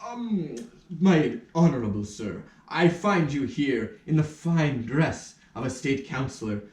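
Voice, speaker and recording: A man playing a pompous, flustered army major in a puppet show, theatrical and full of drawn-out exclamations. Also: mid-range voice, expressive, a little mellow, natural voice. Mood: indignant pleading. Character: flustered pompous army major who lost his nose. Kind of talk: puppet show stage acting in rehearsal. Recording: roomy echo, somewhat distant mic.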